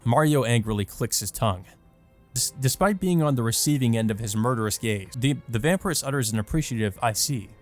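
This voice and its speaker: A man's voice: medium pitch, lively intonation, fairly quick, soft background music. Casual man reading a visual novel aloud. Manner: casual, rambling, many fillers like "like". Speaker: let's play host. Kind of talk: visual novel read-through with commentary.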